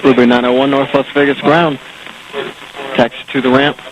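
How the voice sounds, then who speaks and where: A man working as an air traffic controller, giving procedural information in a halting, matter-of-fact way over radio. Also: medium pitch, little pitch movement, low-quality recording. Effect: aviation radio and landline. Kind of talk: radio phraseology, procedural position briefing.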